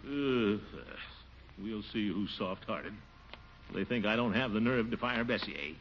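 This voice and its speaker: An actor playing a pompous, soft-hearted middle-aged water commissioner who blusters and talks to himself, in 1940s radio sitcom style. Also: male voice, on the low side, animated, measured pace. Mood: determined. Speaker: lead character. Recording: dull lo-fi 1940s radio recording with faint hiss.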